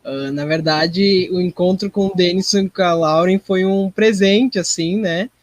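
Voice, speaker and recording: Um homem entrevistado, ator, falando em conversa informal. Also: male voice, high-pitched, a little slow, no room sound. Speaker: interview guest, actor. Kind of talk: casual chat.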